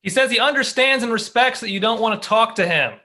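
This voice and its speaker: A man playing a goofy, eager husband who relays his wife's words loudly in a comedy table read. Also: extremely high pitch, fast talker. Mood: loud, smug. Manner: loud, animated.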